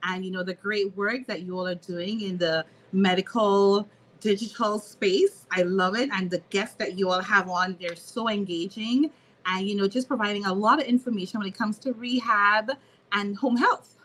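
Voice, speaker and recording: A woman's voice: medium pitch, moderate pace, slight background noise, low-quality recording. Laughing woman guest from Trinidad and Tobago. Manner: laughs often, animated storytelling. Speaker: podcast guest, physical therapist. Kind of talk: casual interview chat.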